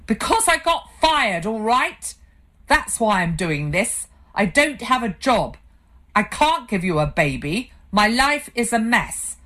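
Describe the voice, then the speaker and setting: A woman's voice: sits low, moderate pace. A woman voicing a man, a bitter, drinking husband who has just lost his job, speaking with sullen self-pity. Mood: distraught. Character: bitter drunk husband, recently fired.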